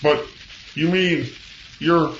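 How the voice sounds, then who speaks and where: A man's voice: a little high, drawn-out words. A man playing a formal, hesitant alien military subordinate in a comic sci-fi audio drama. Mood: baffled. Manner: formal, hesitant, stammering under pressure. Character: deferential, awkward alien military underling. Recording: muffled, roomy, with loud noisy ambience.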